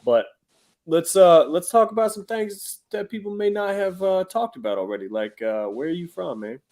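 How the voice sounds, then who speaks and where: A man's voice: high voice, expressive, normal speed, darkish tone. A man in his thirties hosting a podcast, chatting casually with slang and frequent "uh" fillers. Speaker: podcast host. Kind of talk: casual interview chat.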